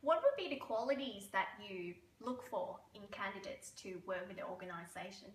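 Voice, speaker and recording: A woman's voice: medium pitch, expressive, normal speed, roomy sound, soft background music, poor audio. A woman interviewing a guest, asking questions casually with "like" fillers.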